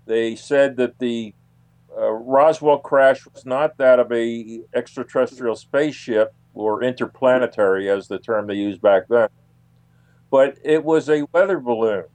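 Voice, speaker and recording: A man answering as an interview guest on radio, haltingly searching for his words. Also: medium pitch, a little mellow, smooth. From United States. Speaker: guest, UFO researcher.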